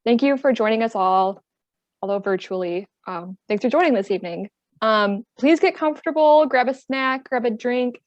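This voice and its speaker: Webinar host, female, higher voice; welcoming, reads from notes with filler words; muffled dry call audio. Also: moderate pace, no room sound. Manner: welcoming, reads prepared remarks with frequent "um"s. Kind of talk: hosting an online panel. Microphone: computer mic on a video call.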